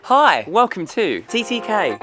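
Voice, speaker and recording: A woman's voice: mid-range voice, very animated, unhurried, music in the background. A British woman hosting, giving a scripted, upbeat introduction straight to camera. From Britain. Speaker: host.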